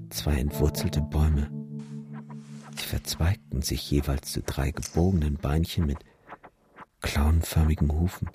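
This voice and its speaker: A man playing a grave narrator in a radio drama, recalling memories in deliberate detail. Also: rumbling low. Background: music.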